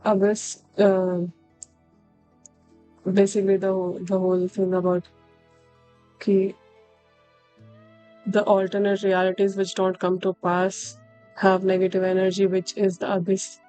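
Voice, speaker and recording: A woman's voice: mid-range voice, monotone, unhurried, pauses often, warm tone. A woman streaming a game, thinking aloud in casual, musing reactions. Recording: music under the voice.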